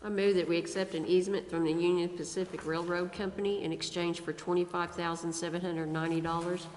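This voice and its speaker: A woman's voice: fairly low pitch, little pitch movement, quick pace, a little mellow. A woman serving as a council member, formally reading out motions and replying briefly. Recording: echoey reverberant chamber, faint noise.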